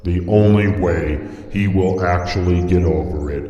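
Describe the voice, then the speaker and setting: Slow, deep robot played by a man, heavily echoing. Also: mellow, dull audio. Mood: matter-of-fact. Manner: formal, deliberate, matter-of-fact. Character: polite, literal-minded robot assistant reciting statistics. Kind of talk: scripted Minecraft roleplay acting. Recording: echoey, long reverb, music behind.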